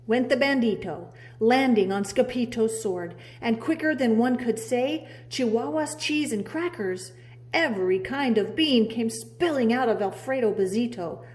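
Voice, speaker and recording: A woman's voice: fairly high pitch, animated, unhurried, darkish tone. A woman reading a children's storybook aloud like a preschool teacher, dramatically drawing out key words. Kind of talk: expressive children's storybook narration.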